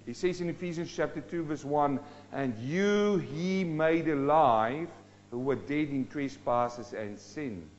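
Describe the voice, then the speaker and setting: Male preacher from South Africa, lighter pitch, dramatic swings in volume and pace, dull lo-fi recording. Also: slow pace, slight background noise. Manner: dynamic, rising and dropping sharply for emphasis. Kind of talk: sermon.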